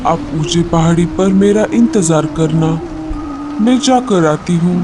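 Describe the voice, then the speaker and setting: Cartoon mother bird, male performer: small, earnest, pleading, raised pitch, slow delivery. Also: music in the background. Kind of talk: Hindi children's cartoon dialogue.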